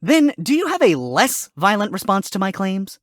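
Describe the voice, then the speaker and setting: A woman's voice: mid-range voice, big pitch swings, normal speed, no echo, clean studio sound. A woman voicing a sly, sarcastic male fox defense lawyer arguing in court.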